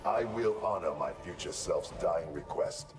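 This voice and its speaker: A vengeful ninja warrior, low and slow, solemn and brooding. He speaks formally, like a fighting-game hero with a grudge, with music playing behind him. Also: far from the mic. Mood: grave, brooding. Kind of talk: video game dramatic dialogue.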